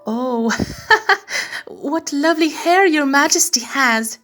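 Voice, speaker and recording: An anxious young hairdresser from a storybook, played by a woman reader, eager to please and pleading. The voice sits high and earnest, with music underneath. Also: slow, fairly bright tone. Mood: flattering. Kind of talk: storybook character voice.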